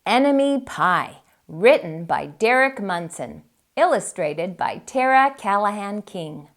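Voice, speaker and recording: A woman's voice: medium pitch, expressive, takes it slowly. A woman introducing a children's read-aloud as the host of a story time.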